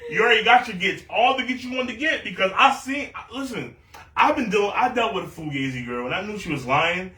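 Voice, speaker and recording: A man in his thirties hosting a casual group chat, telling stories in an animated way and repeating phrases when he is talked over. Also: sits high, fast talker. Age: 30s. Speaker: podcast host. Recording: echoey room, mic at a distance.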